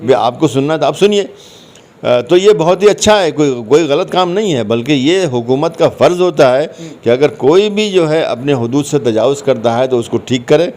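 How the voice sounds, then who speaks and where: A man's voice: fairly high pitch, lively intonation, normal speed, a little mellow. A man answering interview questions conversationally, explaining his points and repeating phrases for emphasis. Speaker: interview guest, commentator.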